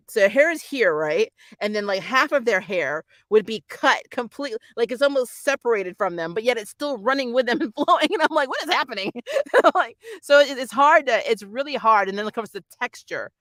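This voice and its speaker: A woman with a bright, forward voice gives a casual, rambling review to her viewers. She talks informally and animatedly, softening her opinions as she goes. The sound is dry, with very little room in it. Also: fairly high pitch, dry recording. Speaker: anime reviewer and vlog host.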